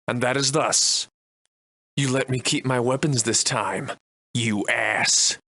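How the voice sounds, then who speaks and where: A man playing a sardonic, defiant old-fashioned gentleman adventurer in a video game, speaking in florid, archaic, theatrical phrases. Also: medium pitch, steady tone, slow. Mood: sly, mock-humble. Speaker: voice actor.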